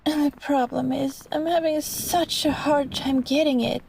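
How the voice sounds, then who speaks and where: A woman voicing a sweet but possessive catgirl vampire in an intimate roleplay monologue, soft and halting, pleading, with small sighs. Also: high-pitched, fairly even intonation, gravelly, a little hiss or hum, rough recording. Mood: wistful, self-pitying.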